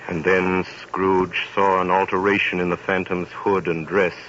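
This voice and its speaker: A man's voice: deep voice, flat delivery, measured pace, a bit bright, slight background noise. A man giving dramatic, sonorous scripted narration in a 1930s radio drama. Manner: sonorous, theatrical. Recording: dull 1930s radio broadcast with music.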